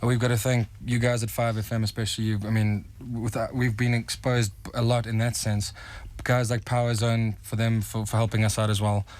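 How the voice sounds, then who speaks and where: South African man, young adult, fast, earnest, a bit halting. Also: mid-range voice, little pitch movement, a little mellow. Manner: earnest, fast, with stumbles and restarts. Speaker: rock band member, interviewee. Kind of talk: casual radio interview.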